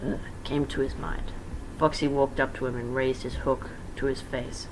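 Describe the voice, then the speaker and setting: A man's voice: medium pitch, flat delivery, normal speed. A young man reading fan fiction aloud as an informal dramatic narration, breaking off for casual asides. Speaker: amateur fan-fiction reader. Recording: faint background noise.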